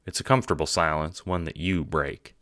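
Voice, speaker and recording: A man's voice: a bass voice, steady tone, a little fast, a bit bright, dry recording, clean studio sound. A man reading scripted second-person narration for an audio drama.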